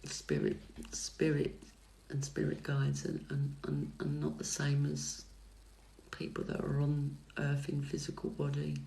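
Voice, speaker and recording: Slow, gravelly-voiced woman reader. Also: low voice, low-quality recording. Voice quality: soft calming voice. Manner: hesitant, many fillers and restarts. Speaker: psychic tarot reader. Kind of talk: casual livestream chat answering viewer comments. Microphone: phone livestream mic.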